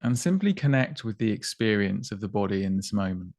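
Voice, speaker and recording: A man guiding a body scan meditation in an unhurried, gently instructive way, with long pauses between short phrases. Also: fairly low pitch, big pitch swings, no echo, clean studio sound. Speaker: meditation guide.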